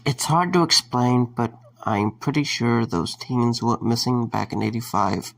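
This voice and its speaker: A man playing a wry, concerned young man in an audio drama, speaking in a low-key, halting way. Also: gravelly, fairly bright tone. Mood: grave, determined.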